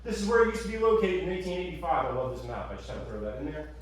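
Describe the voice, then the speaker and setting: A man giving a lively museum talk with slides to an audience, chatty and energetic. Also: fairly high pitch, racing. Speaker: museum staff presenter. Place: meeting room with audience. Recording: echoey room, far from mic.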